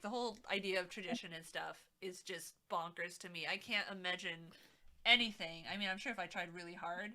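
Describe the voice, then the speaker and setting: A woman's voice: on the low side, bright, forceful tone. A woman streamer chatting casually while she arranges craft beads, laughing often and trailing off with "I don't know.". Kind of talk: casual chat.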